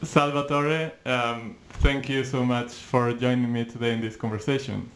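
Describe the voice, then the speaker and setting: A man's voice: mid-range voice, steady tone, moderate pace. A man in his thirties hosting an interview over a video call, asking friendly, structured questions. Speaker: host. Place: indoor room.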